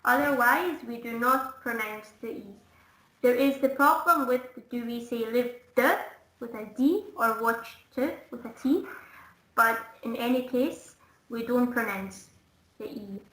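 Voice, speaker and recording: Slow-paced female teacher, echoey room. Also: fairly high pitch, a bit bright. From Scotland. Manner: patient, explanatory, demonstrating sounds slowly. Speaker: English teacher. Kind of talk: one-to-one online language lesson. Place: indoors, online lesson call. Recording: roomy, echoing online call audio.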